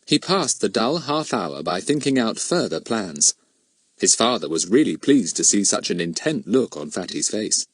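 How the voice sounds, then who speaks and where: Highly animated male storybook narrator. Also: moderate pace, slightly rough, no room sound. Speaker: audiobook narrator. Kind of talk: scripted children's story narration.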